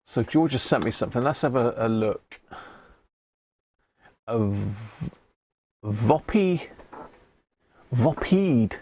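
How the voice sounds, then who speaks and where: A man's voice: mid-range voice, expressive, slow, long pauses, warm tone, a little hiss or hum. A man hosting a casual live repair stream, chatting and thinking aloud as he works at an electronics bench. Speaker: host of an electronics repair livestream. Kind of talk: casual live workbench commentary. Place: indoors at an electronics workbench.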